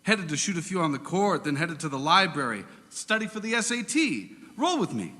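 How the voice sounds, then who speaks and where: A friendly teenage boy in an audio drama, upbeat, eager and casual. His voice is high for a male and very lively in pitch, with a bright edge. It rings in long reverb. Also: brisk.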